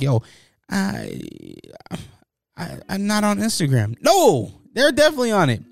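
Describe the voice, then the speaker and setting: A man giving casual, joking commentary on news stories, slangy and animated. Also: fairly high pitch. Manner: animated, joking, slangy, mocking voices in quotes. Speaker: commentary host.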